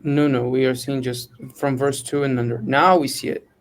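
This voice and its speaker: A man's voice: mid-range voice, little pitch movement, moderate pace. A man speaking casually as a participant in an online video call. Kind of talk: casual conversational remarks.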